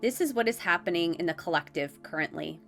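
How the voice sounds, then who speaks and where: Solemn angelic guidance, read aloud by a woman with a stuffy head cold. The voice sits low and stays measured, as though read from a page. Faint music plays behind. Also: animated, a bit bright.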